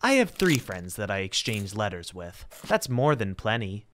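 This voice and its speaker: A man's voice: fairly low pitch, sing-song, normal speed, a bit bright, slight background noise. A man voicing an aloof, curt, solitary teenage schoolgirl in a dramatic manga reading. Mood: cool, defensive.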